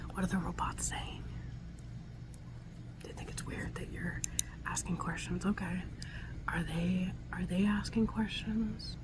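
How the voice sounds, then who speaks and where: Whispering woman in an ASMR roleplay. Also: on the low side. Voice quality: breathy whisper. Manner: soft, soothing, halting, reassuring. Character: gentle scientist tending a robot. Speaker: ASMR performer.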